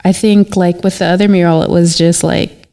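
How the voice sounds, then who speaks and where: A woman's voice: lowish voice, fairly even intonation, moderate pace, creaky, dark tone. A young woman from Canada, an artist guest on a podcast, answering in a casual, hesitant way full of fillers.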